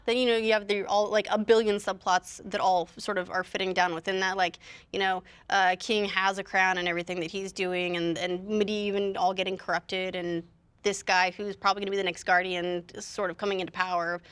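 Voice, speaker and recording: A woman talking as a panelist in a casual analytical discussion, reasoning aloud in a measured way. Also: medium pitch, steady tone, fairly bright tone, clean tone. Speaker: talk show panelist.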